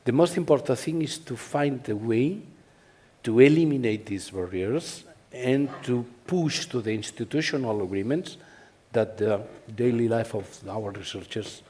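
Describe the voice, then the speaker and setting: A man speaking on a forum panel in a measured, explanatory way. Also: medium pitch, warm tone, slightly rough. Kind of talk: panel discussion.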